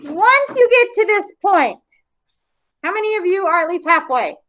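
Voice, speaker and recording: A young adult woman teaching an art class over a video call, brisk and teasing with her students. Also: extremely high pitch, sing-song, fairly bright tone. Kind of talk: online class instruction. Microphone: laptop mic on a video call.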